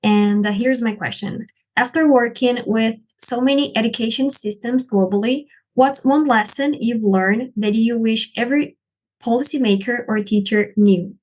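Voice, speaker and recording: A woman's voice: fairly high pitch, normal speed, darkish tone, poor audio. A woman in her twenties, an IT student asking a brief, direct question on a video call. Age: 20s.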